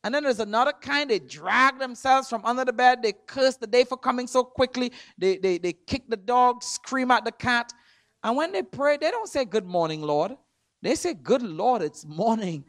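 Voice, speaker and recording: Emphatic Trinidadian preacher, dry sound. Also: male voice, extremely high pitch, lively intonation, moderate pace, dry recording. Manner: emphatic, repeats words, swings loud and soft. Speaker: guest preacher. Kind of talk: sermon. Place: church sanctuary.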